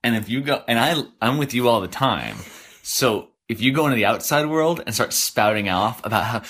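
A man's voice: medium pitch, animated, fairly quick, a bit bright. A man speaking informally and bluntly, emphatic and scolding in tone. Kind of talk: informal argument at home.

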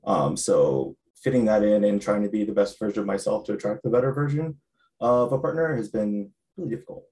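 A man's voice: fairly low pitch, brisk, dark tone, poor audio. A man on a group call speaking casually and laid-back, often checking in with "right?". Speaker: call participant. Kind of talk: casual sharing on a video call.

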